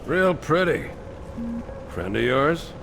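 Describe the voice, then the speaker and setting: Stern military captain in a game, talks slow and curt, loud noise behind. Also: male voice, fairly high pitch, big pitch swings, vocal fry, heavily acted. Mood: curt, dry. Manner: curt, authoritative. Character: stern male marine captain, curt and unflappable.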